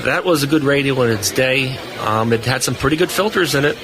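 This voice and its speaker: A man's voice: medium pitch, animated, brisk. A man speaking as an expert guest on a live show, explaining technical points casually and with enthusiasm. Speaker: radio lab expert guest. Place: busy hamfest exhibit hall, live booth. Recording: live from a crowded convention floor. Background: crowd noise.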